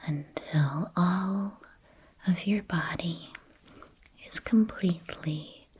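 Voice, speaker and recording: A woman whispering a guided ASMR meditation, speaking gently and soothingly in measured phrases with long pauses. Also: on the low side, slight background noise.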